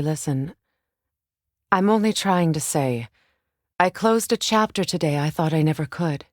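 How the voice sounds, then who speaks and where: Casting: regal Norse sorceress-warrior for a game, lowish female voice, grave and commanding, dry close sound. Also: darkish tone, dry recording. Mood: reflective, candid. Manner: measured, deliberate, formal phrasing. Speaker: voice actor in a video game. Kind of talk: dramatic game character dialogue.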